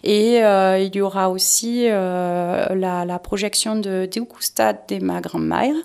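A woman's voice: mid-range voice, fairly even intonation, slow, gravelly, darkish tone, clear, resonant tone. A woman presenting an event off the cuff as an organiser being interviewed, hesitant, often repeating words as she searches for the next. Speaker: cultural festival organiser and interview guest. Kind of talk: spontaneous spoken event presentation.

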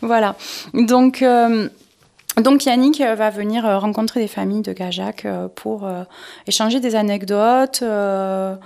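A woman's voice: mid-range voice, steady tone, a little slow, creaky, mellow. A woman presenting an event off the cuff as an organiser being interviewed, hesitant, often repeating words as she searches for the next. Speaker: cultural festival organiser and interview guest. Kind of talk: spontaneous spoken event presentation.

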